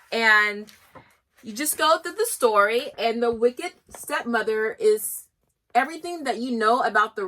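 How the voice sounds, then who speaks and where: A woman's voice: on the high side, pushed and bright. A woman giving a chatty, enthusiastic review of children's books and reading short excerpts aloud. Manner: chatty and enthusiastic, with frequent restarts and laughs. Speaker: homeschool book reviewer. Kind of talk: casual book review with read-aloud excerpts.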